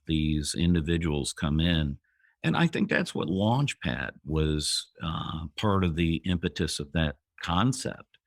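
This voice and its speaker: A man in his fifties, a guest entrepreneur on an interview podcast, speaking in a measured way with pauses. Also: rumbling low, no echo, studio quality. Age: 50s.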